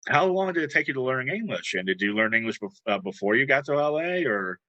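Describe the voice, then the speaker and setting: Male host, rambling and teasing, very bright tense tone, dry close mic. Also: medium pitch, very animated, quick pace, dry recording, lo-fi sound. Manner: rambling, repeats words, teasing. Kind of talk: casual interview chat.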